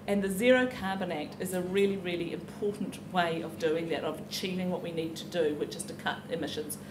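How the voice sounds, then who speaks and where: A woman's voice: fairly low pitch, steady tone, a little fast. Woman speaking publicly in an echoey, noisy room. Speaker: campaign speaker at a public meeting. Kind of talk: informal public speech. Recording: noisy, echoey live event recording.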